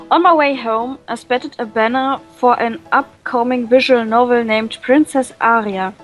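A woman reading a visual novel aloud and translating it, with many fillers and pauses. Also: on the high side, faint music behind, poor audio. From Germany. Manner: hesitant, many fillers, laughs often.

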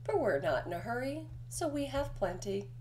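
A woman voicing a teasing, lazy young female mole, smug and unhurried, in a picture-book read-aloud. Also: fairly high pitch, big pitch swings, darkish tone, slight background noise, pitched above the performer's own voice.

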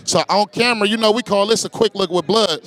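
Hyped high-voiced Bay host. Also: male voice, fast, a bit bright. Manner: hyped, slangy, repeats "yes, sir". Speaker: host of street interview show. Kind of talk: casual street interviews. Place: outdoor festival. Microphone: handheld mic.

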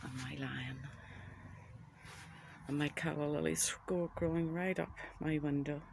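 A man with a Northern Irish accent chats gently and casually as he narrates an unscripted garden tour on a handheld phone outdoors. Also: a little high, steady tone, a little slow, creaky, noisy background. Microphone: handheld phone camera.

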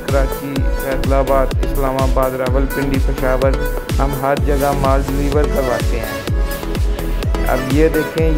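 Brief: man selling wholesale stock, monotone pitch, phone-camera sound, heavy music bed. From Pakistan. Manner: plain, repetitive sales pitch. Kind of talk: product showcase. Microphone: handheld phone camera.

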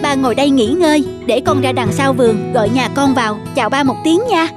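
A woman voicing a scheming, greedy married daughter in an animated folk tale, with a sly, nagging way of talking. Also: high voice, fairly quick, loud music behind the voice, natural voice. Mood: falsely sweet.

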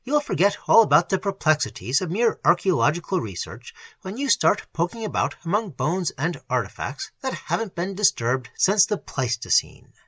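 A man voicing a cordial, concerned scholarly gentleman, earnest and emphatic, in a horror audiobook. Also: on the high side, very animated, normal speed, few pauses, husky, no room sound, full character voice. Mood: soothing, concerned. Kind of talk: dramatic horror audiobook acting.

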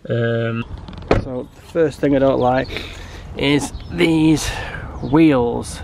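A man's voice: mid-range voice, drawn-out words, creaky, noisy background. A British man in his thirties with a cold, sounding nasal, talking casually and rambling in a car vlog recorded in a parked car. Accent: British English. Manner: rambling, with many restarts. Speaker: car vlogger.

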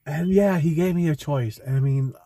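A young man talking through a personal vlog from a parked car, slow, halting and heartfelt, with frequent restarts. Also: on the high side, mellow, breathy edge, dry recording. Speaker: vlogger. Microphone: phone camera close in front.

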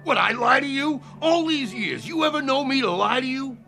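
Brash high-voiced boxing cornerman. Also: animated, normal speed, vocal fry, pushed and bright, soft background music, heavily acted. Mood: breezy, proud. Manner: slangy, streetwise, punchy. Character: brash, upbeat, loyal boxer's cornerman pal. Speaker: actor in a radio play. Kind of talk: modern radio drama acting.

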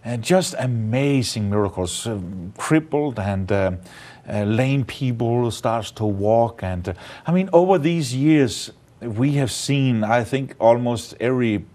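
Danish accent man, studio guest, lapel mic, halting speech, mid pitch. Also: expressive, a little mellow. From Denmark. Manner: halting, many fillers, non-native grammar. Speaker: evangelist guest. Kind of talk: interview testimony. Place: studio set, indoors.

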